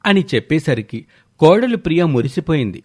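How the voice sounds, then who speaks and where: A man's voice: a little high, sing-song, a little fast, darkish tone, breathy edge. A man narrating a scripted moral story as its storyteller.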